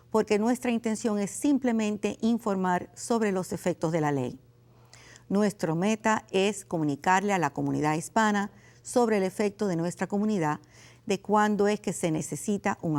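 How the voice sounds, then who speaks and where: A woman's voice: medium pitch, darkish tone. Formal woman hosting a legal program. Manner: formal, measured delivery. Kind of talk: scripted TV hosting and interviewing.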